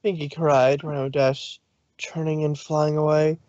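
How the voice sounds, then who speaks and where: A man's voice: fairly high pitch, little pitch movement, a little slow, darkish tone, no room sound. A man narrating a fan story in a casual stream reading, with occasional slips. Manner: plain reading with slips and laughs. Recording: dull dry lo-fi stream audio.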